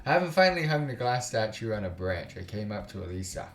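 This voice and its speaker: Low-voiced man in a roomy space. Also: sing-song. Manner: exasperated, informal slang, swearing; halting, stumbling reading. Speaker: gaming YouTuber, let's play host. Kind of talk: casual let's play commentary, reading visual novel text aloud. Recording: roomy sound with music underneath.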